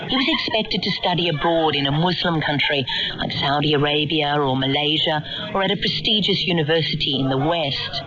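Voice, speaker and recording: A woman's voice: low voice, brisk. A woman reporter narrating a documentary and asking interview questions, heard on a shortwave radio capture. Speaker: reporter and presenter. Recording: muffled shortwave radio capture, crowd-like background.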